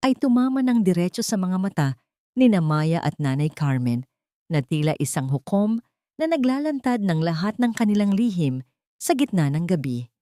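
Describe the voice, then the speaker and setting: A woman's voice: deep voice, darkish tone, no room sound, studio quality. A woman reading a dramatic story as a scripted narration, with a solemn, ornate storytelling delivery.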